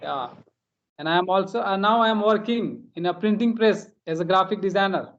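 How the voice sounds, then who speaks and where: A man's voice: high voice, brisk, dull audio. A man from India chatting casually in as a practice conversation, hesitant and repeating phrases as he goes. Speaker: host.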